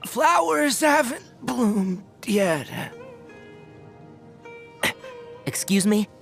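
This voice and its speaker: A man's voice: extremely high pitch, vocal fry, bright, forceful tone, full character voice. A man voicing a young fox-eared forest ranger and scholar in a game, sensible and dryly sardonic, articulate and wry. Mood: battle-ready, forceful. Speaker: voice actor for a game character. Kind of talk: scripted game character voice lines. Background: background music.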